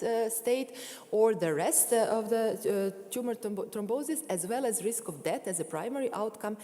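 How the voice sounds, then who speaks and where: A woman delivers a conference talk on medical imaging in a big hall, her voice ringing with long reverb. Her tone is mellow and her pitch moves a lot. She pauses on frequent fillers and restarts as she walks through her slides. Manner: frequent fillers and self-corrections while explaining slides. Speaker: conference speaker. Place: large concert hall.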